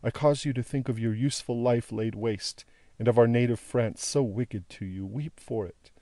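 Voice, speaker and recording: Casting: man as devoted young daughter in classic audiobook. Gentle, pleading, rhythmic appeals, soft dark timbre, dry sound. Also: moderate pace, no room sound, close to an everyday voice. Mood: fervent consoling. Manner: low, tender, imploring, rhythmic appeals.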